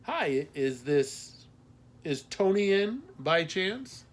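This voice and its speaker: A man's voice: fairly high pitch, sing-song, extremely slow, pitched above the performer's own voice, full character voice. A man voicing a wry, good-natured middle-aged husband in casual audiobook dialogue. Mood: casual, joking.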